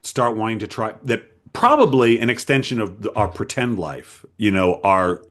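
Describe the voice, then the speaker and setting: A man's voice: on the low side, big pitch swings, moderate pace. A man from Rhode Island hosting a casual conversational interview, effusive and exclamatory, often jumping in. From Providence, Rhode Island, United States. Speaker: podcast interviewer and host.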